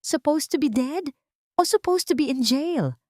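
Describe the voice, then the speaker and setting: A woman voicing a cold, sharp, commanding male heir in an audio drama. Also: sits high, animated, a little slow, no room sound, studio quality, voice pushed up. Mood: cold, mocking.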